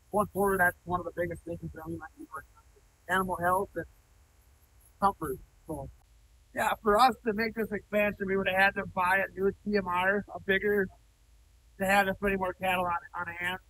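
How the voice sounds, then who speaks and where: Farmer guy in his 30s talking about his barn, highish voice, kinda far from the mic, faint hiss behind. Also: bright, forceful tone. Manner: plainspoken, with frequent ums and restarts. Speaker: cattle farmer. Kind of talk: on-site interview. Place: indoor cattle barn, large, open-sided.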